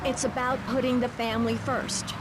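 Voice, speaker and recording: Woman acting a prim preachy mom on a spoof talk radio show, really high sugary voice, street noise behind. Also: flat delivery, moderate pace, natural voice. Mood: smug, earnest. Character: prim, sanctimonious, possessive suburban mother. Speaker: moral campaigner, panelist.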